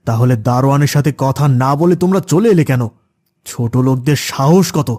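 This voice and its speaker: A man's voice: medium pitch, a little fast, darkish tone, close to an everyday voice. A man voicing an arrogant, scornful rich household master in a cartoon, haughty and bossy. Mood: haughty, suspicious. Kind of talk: cartoon voice acting.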